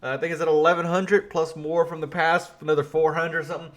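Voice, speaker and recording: Give me a man from Louisiana doing animated political commentary, voice on the higher side. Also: quick pace. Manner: animated, laughing, restarts.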